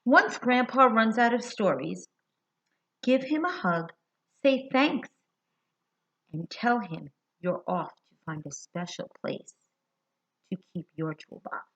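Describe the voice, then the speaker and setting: An American woman reading a picture book aloud to young children, expressive and deliberate, putting questions to her listeners. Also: fairly high pitch. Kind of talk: children's read-aloud storytelling. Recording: dull lo-fi sound.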